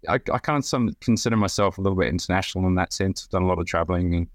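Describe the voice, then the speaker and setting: A man's voice: low-pitched, racing, dry recording, clean studio sound. A middle-aged man with a New Zealand accent, talking casually as a podcast guest and rambling through restarts and fillers. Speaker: guest, brand director.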